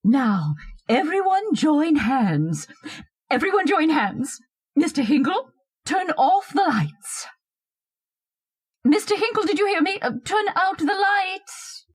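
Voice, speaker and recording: An actress playing a pompous, bossy older society hostess in a radio play, with a theatrical, affected, self-satisfied way of talking. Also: female voice, very high voice, big pitch swings, slow, exaggerated voice. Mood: excited, bossy. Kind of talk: old-time radio horror-comedy acting.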